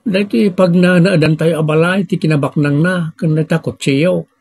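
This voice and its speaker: A man's voice: fairly high pitch, steady tone, moderate pace, creaky, a little mellow, breathy edge. A man with a Filipino accent playing a grumbling adult family member in an audio drama, talking conversationally. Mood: concerned.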